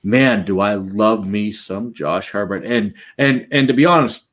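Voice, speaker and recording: A man's voice: lowish voice, unhurried, a bit bright. A man with a Boston accent, joking and trash-talking while co-hosting a casual sports chat. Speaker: podcast co-host.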